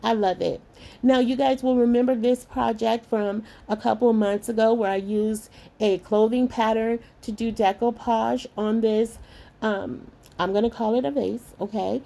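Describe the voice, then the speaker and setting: A middle-aged woman hosting a craft channel, narrating a tutorial in a chatty way with a slightly hoarse voice and calling her viewers lovies. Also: fairly high pitch, steady tone, normal speed. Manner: warm, chatty, calls viewers lovies and y'all.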